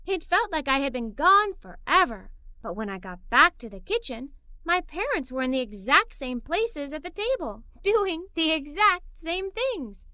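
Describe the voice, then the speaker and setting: A woman reading a children's story aloud in the first person, with animated, expressive delivery. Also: high voice, no room sound. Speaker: storybook narrator.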